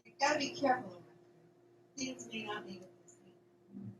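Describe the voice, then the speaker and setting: Radio play part: actress as paranoid, insistent young farm woman, high voice, recorded roomy with clear noise. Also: fairly quick, natural voice. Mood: warning, secretive. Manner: urgent, emphatic warnings. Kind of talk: amateur radio drama dialogue. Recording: roomy, distant mic, noisy background.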